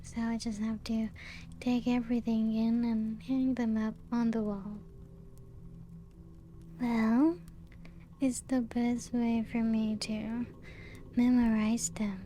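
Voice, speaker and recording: A woman playing a genteel, serene, playful ancient vampire in a soft-spoken ASMR roleplay, with a breathy, often half-whispered voice and gentle lilt. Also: on the high side, flat delivery. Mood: nostalgic, gentle. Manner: slow, unhurried, gently lilting storytelling. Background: music.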